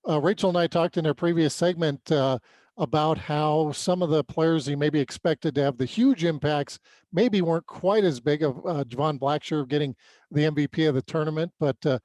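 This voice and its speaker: A man's voice: on the high side, normal speed, a little mellow, dry recording. A man co-hosting a sports podcast, giving conversational analysis and leading an interview.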